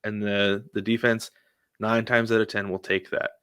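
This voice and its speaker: Low-voiced man chatting about sports. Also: level tone, normal speed, fairly bright tone, clean tone, no room sound, studio quality. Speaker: sports podcast co-host. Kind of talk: casual baseball chat with anecdotes.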